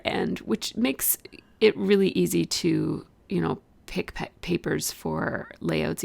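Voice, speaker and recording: A woman, hoarse from a cold, chatting casually through a voice-over of her scrapbooking process and explaining each step with lots of fillers. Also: medium pitch, no room sound. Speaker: scrapbooking video host.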